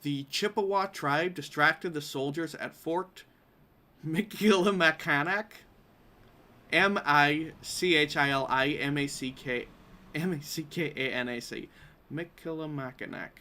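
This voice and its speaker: A man's voice: fairly high pitch, unhurried, pushed and bright. A man with a Derry, Northern Irish accent hosting a live-streamed quiz, reading questions aloud in an informal way with wry asides. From Derry, Northern Ireland. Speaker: quiz host.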